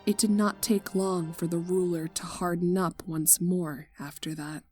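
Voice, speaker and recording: A woman reading a tragic fan fiction story aloud in a somber, slow narration that drops to near-whispers. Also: lowish voice, steady tone. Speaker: fanfic reader. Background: soft guitar music.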